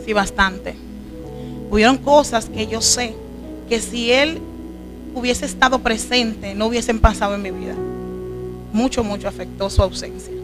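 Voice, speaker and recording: Dominican woman, earnest testimony, slightly high voice, background music. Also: a little slow, long pauses. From Dominican Republic. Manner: earnest, emotional storytelling. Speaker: guest, preacher. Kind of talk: testimony interview.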